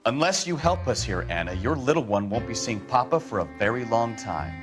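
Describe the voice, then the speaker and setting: A man's voice: medium pitch, lively intonation, fairly quick, lightly acted. A man playing an earnest, by-the-book police detective with a clipped, official manner in a crime game. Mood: stern. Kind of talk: dramatic game acting. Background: loud music, vehicle noise.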